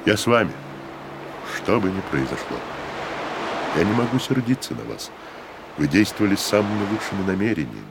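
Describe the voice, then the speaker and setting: An actor playing a big-hearted, blunt, loyal musketeer in a radio play, with an emphatic theatrical delivery. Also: male voice, on the low side, very animated, a little fast, long pauses, very noisy. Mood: loyal, forgiving. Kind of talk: Russian radio play acting.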